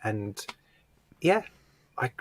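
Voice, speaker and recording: A man's voice: lowish voice, very animated, extremely slow. A man co-hosting a podcast, chatting casually in a book club discussion. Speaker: podcast co-host.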